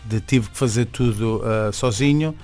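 A man's voice: medium pitch, measured pace, a little mellow. Venezuelan-born guy, spanish first language, casual interview chat, medium voice, repeats words a lot, light music under. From born in Venezuela, in Portugal from age ten. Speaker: singer, interview guest.

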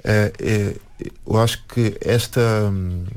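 Portuguese guy, european accent, kinda low voice, lots of stops and restarts, thinking out loud in an interview. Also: steady tone, slow. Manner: hesitant, many restarts and fillers. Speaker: musician and anthropologist guest. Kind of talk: reflective interview talk.

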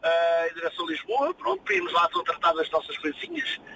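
A man's voice: a little high, sing-song, quick pace. A man with a European Portuguese accent chatting casually as a guest, on a distant, noisy call from a moving vehicle. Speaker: interview guest, restaurant owner. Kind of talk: casual phone chat. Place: inside a vehicle on the road.

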